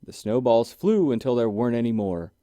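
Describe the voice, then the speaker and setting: A man reading a rhyming children's storybook aloud in an expressive, deliberate way. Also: mid-range voice, no room sound, clean studio sound. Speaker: children's book reader. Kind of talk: animated scripted read-aloud of a rhyming storybook.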